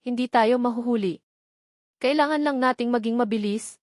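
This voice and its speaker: A woman's voice: fairly high pitch, moderate pace, darkish tone, no room sound, studio quality, voice pushed up, lightly acted. A woman voicing a scheming villainous man in a story, reassuring yet desperate. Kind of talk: dramatic storybook dialogue.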